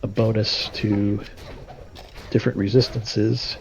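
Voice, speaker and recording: A man's voice: fairly low pitch, level tone, slow pace, creaky, muffled recording. A man with a stuffy, nasal voice from a cold, casually explaining his moves while streaming a game and thinking aloud with many fillers. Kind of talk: casual gameplay commentary.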